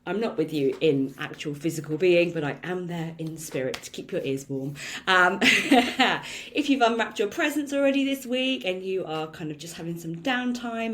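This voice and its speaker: A woman hosting a solo podcast, talking in a chatty, reflective way with lots of "um"s and a slightly croaky voice. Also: lowish voice. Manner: chatty and reflective, many "um" fillers, laughs now and then. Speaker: podcast host.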